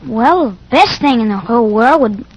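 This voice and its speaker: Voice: mid-range voice, lively intonation, moderate pace, dry recording, poor audio. A performer playing an eager, shy young ranch boy in an old-time western radio drama. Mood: earnest.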